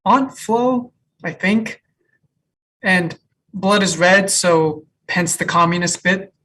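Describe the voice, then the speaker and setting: A woman playing a friendly, easygoing young cafe customer in a comedy table read, low-key and a little hesitant. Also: takes it slowly, fairly bright tone, poor audio. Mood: relaxed, friendly.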